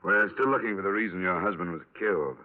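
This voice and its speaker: A man acting a wry, hard-boiled American insurance investigator from an old radio serial. The voice is low and the delivery quick and clipped. It comes through tinny, like a vintage 1950s broadcast. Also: lightly acted. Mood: probing, restrained. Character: brisk wry male insurance investigator narrating his case.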